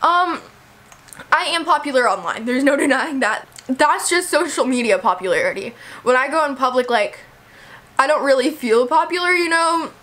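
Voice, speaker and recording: A young woman from Texas, talking casually to her camera with lots of "like" and "you know", hoarse from a cold. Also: high-pitched, lively intonation, normal speed, pushed and bright. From Texas, USA. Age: young adult. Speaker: vlogger.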